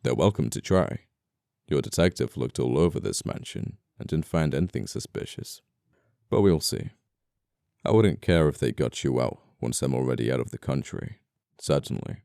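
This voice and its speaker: Man acting a very deep, gravelly, genteel murderer. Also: no echo, studio quality, exaggerated voice. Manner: soft-spoken politeness, condescending, old-fashioned phrasing. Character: apologetic, flirty murderer, genteel amoral scoundrel. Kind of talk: intimate ASMR audio roleplay acting.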